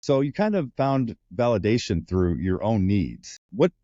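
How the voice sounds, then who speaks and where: A man's voice: on the low side, big pitch swings, unhurried, mellow, no room sound, highs cut off. A man hosting a business interview show, delivering introductions and questions. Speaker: podcast host, interviewer.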